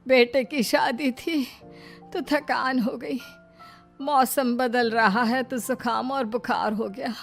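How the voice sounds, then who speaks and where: Woman voicing a high-pitched, complaining sickly mother-in-law. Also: steady tone, takes it slowly, vocal fry. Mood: whining. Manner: whining, scolding. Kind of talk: cartoon moral story acting. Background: faint music.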